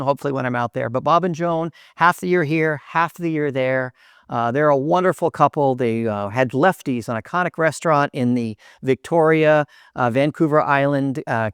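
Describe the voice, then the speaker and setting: A man's voice: on the high side, clean tone, dry recording, clean studio sound. A man hosting his own video channel, chatting casually and enthusiastically to his viewers. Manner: upbeat, enthusiastic, chatty with fillers. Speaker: vlogger host. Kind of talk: casual chat to camera.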